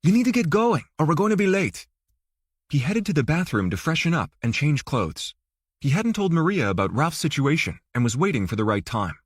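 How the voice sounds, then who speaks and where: A man's voice: mid-range voice, breathy edge, no echo, studio quality. A man reading scripted fiction as an audiobook narrator.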